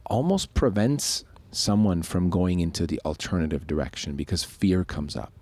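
A man from Switzerland co-hosts a podcast with a very low voice. He explains his ideas loosely and reflectively, often restarting sentences. The sound is close and dry. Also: very animated, moderate pace, a little mellow, no room sound. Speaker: podcast co-host. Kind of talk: casual podcast conversation.

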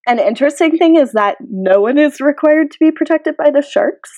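A woman's voice: sits high, animated, moderate pace, a little mellow. A woman co-hosting a podcast in casual sports chat, with a dry, matter-of-fact manner. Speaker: podcast co-host.

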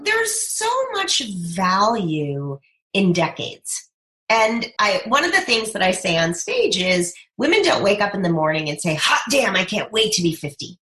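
A woman in her fifties speaks as a podcast guest, persuasive and emphatic. Her tone is bright and forceful, and she pushes her points home with lively conviction. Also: medium pitch. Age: 50s. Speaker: guest, speaker and brand coach. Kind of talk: podcast interview conversation.

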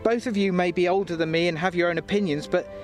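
A man's voice: high voice, fairly even intonation, quick pace, music in the background, natural voice. An actor playing a flustered, earnest young father down on his luck in a comedy audio drama, stammering and pleading.

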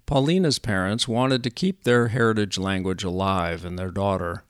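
An older man, the host of a podcast, narrating in a measured, earnest scripted way. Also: deep voice, soft background music.

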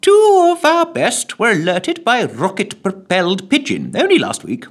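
A man's voice: sits high, huge pitch swings, moderate pace, voice pushed up, heavily acted. A man putting on a blustering pompous minister. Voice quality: blustering, flustered. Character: pompous blustering male defence secretary.

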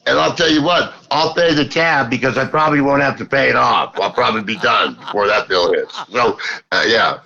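A man's voice: medium pitch, a little fast, vocal fry, bright, forceful tone, muffled recording. A man in his fifties joking around as a guest, rambling with many restarts. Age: 50s. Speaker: comedic guest. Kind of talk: casual comedic banter.

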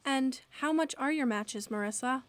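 A woman's voice: high-pitched, moderate pace, no echo, lightly acted. A woman playing a kindly, generous lady caroler in a radio play, speaking in an encouraging way.

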